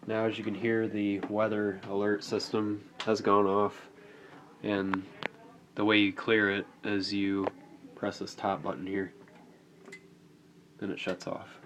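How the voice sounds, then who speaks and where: A man's voice: on the low side, monotone, measured pace, pauses often. A man giving an informal, unscripted how-to demonstration as a hobbyist video host, explaining somewhat hesitantly as he goes. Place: indoors at home. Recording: faint background noise.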